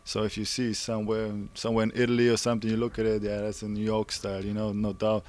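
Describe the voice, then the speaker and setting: A man from Germany, a graffiti artist, answering in an unhurried way while searching for words. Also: lowish voice, monotone, a little mellow. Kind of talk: casual interview answers.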